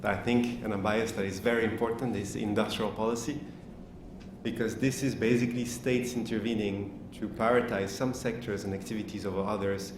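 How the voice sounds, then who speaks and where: A man with a lowish voice answers audience questions at a summit panel, laying out his points in a measured, explanatory way. He is picked up from a distance in a large hall, so his voice sounds roomy and echoey. Steady background noise runs under the speech. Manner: measured, explanatory, thinking aloud. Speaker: panel speaker. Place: lecture hall.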